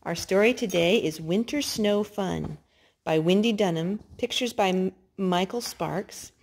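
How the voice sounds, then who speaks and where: A woman reading a picture book aloud to a young child, gently asking him questions between pages. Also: mid-range voice, unhurried, creaky. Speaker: storyteller.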